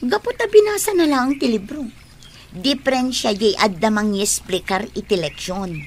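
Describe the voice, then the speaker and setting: An actress playing a skeptical, resentful young college student in an Ilocano radio drama. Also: female voice, a little high, expressive, normal speed. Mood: scornful.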